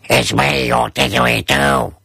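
A man voicing a polite little talking didgeridoo with a gruff voice in a children's story. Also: medium pitch, fairly even intonation, unhurried, pushed and bright, no room sound, full character voice. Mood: calm, matter-of-fact.

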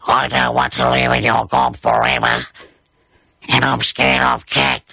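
A man voicing a slow, gravelly little talking didgeridoo. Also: medium pitch, level tone, bright, forceful tone, no room sound, full character voice. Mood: wistful, timid. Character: little talking didgeridoo living in a boy's mouth.

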